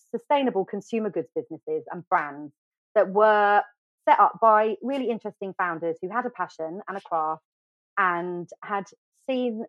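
Podcast guest: middle-aged UK woman, lively and self-deprecating, dry studio sound, a touch dull. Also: lowish voice, no room sound. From United Kingdom. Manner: animated, chatty, self-deprecating, many fillers and restarts. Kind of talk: casual storytelling interview.

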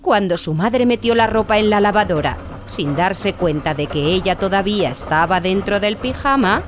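A woman's voice: mid-range voice, lively intonation, moderate pace, noisy background, natural voice. A woman narrating a children's radio tale playfully, repeating words for effect. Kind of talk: scripted children's story narration.

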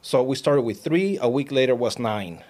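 A man's voice: mid-range voice, expressive, normal speed, a little mellow. Chatty Peruvian-man. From Peru. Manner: chatty, repeats words, laughs often. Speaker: guest, alpaca farmer. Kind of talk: casual interview chat.

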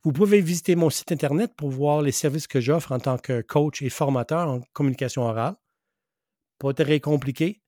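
A middle-aged man from western Canada, English his first language, hosts his own podcast with an earnest, emphatic delivery. His voice sits a little high for a man but sounds mellow and warm. The sound is close and dry. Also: normal speed, dry recording. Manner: earnest, emphatic, repeats key phrases. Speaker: podcast host and public speaking coach. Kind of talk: solo podcast monologue.

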